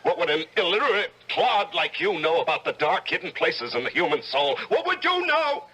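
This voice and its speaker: An actor playing a stern, overwrought judge on the edge of madness in an old-time radio drama, declaiming theatrically. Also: male voice, high-pitched, very animated, moderate pace, sharp tone, husky, full character voice, low-quality recording. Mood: scornful, outraged.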